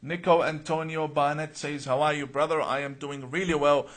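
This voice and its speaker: A fairly high-voiced man from Iraq hosts a live stream, reading viewer names and questions before answering. He talks earnestly and emphatically, stumbling over repeated words and uh's as he works through his answers. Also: fairly even intonation, moderate pace, a bit bright.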